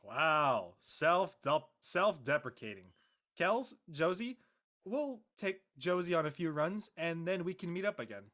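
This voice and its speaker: Woman acting young man, low-leaning voice, animated intonation, easygoing, casual, sheepish, audio drama. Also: measured pace. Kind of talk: acted audio drama dialogue.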